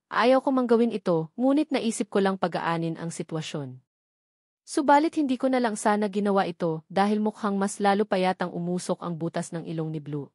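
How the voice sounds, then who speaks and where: A Filipino woman delivers scripted first-person story narration. Her voice sits at a middle pitch for a woman. The sound is dry and close, like an audiobook. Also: darkish tone, no room sound.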